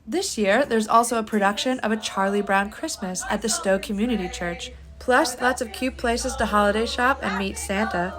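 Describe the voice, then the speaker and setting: A woman's voice: mid-range voice, moderate pace, few pauses, a bit bright. A woman hosting a travel vlog, narrating in an upbeat, playful and theatrical way. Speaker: travel show host. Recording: music behind the voice. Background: background music.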